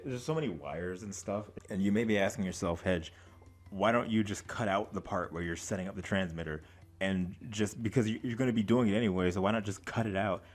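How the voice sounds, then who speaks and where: Low-voiced man chatting casually. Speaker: reaction video host. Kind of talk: casual chat to camera.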